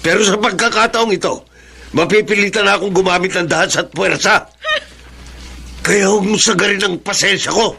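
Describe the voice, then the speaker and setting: A man's voice: high-pitched, brisk, creaky, bright, forceful tone, a little hiss or hum, heavily acted. An actor playing a stern, exasperated man of the house in a Filipino radio soap, scolding firmly with a Filipino accent. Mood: stern, threatening. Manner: scolding, firm, confrontational.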